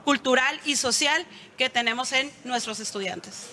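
A middle-aged woman speaks as an interview guest. Her voice is high and bright, and it rings with long studio reverb. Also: normal speed. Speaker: university promoter, interview guest. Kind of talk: live TV interview. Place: indoor television studio. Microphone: handheld microphone.

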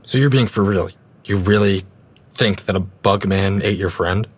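A man as a low-voiced, sarcastic teenage podcaster. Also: moderate pace. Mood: flippant. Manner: sarcastic, blunt, casual. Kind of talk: naturalistic audio drama acting. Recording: clear background ambience noise.

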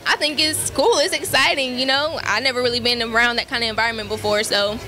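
A woman's voice: a little high, fairly even intonation, quick pace, pushed and bright, faint music behind. An eighteen-year-old woman, an entertainer, answering interview questions in a friendly, giggly way. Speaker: performing artist. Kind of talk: casual interview. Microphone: interviewer's handheld mic.

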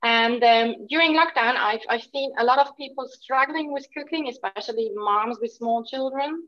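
A woman in her thirties from Austria, introducing herself on a video call from home in a plain, steady way. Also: a little high, moderate pace, muffled recording. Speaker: new team member, guest. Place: home, indoors. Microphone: phone or laptop camera, video call.

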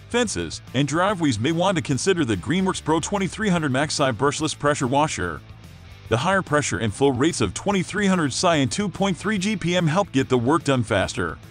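Animated higher-pitched male promo narrator. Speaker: product review narrator. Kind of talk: scripted promotional voice-over narration.

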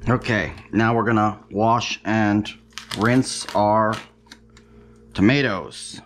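A man's voice: lowish voice, a little hiss or hum. A man hosting a home cooking show, chatting casually and making wry, self-deprecating asides as he cooks. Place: home kitchen, stovetop.

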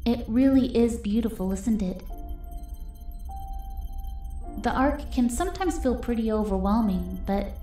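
A hopeful, gentle young girl , acted by a woman, speaks in a high, soft voice with wistful earnestness. It is animated comic dub acting, with noticeable echo and music underneath. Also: moderate pace, natural voice. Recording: echoey voice under background music.

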